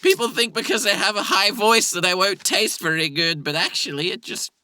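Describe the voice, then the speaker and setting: A sunny, eager man with a piping high voice, put on by a male improviser. He chirps his greetings with bright, tense brightness and sounds delighted by everything. Dry, close sound with no room around him. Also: expressive, fairly quick, no room sound, exaggerated voice. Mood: chipper. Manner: eager, overly cheerful. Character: chipper high-voiced young man hoping to be eaten.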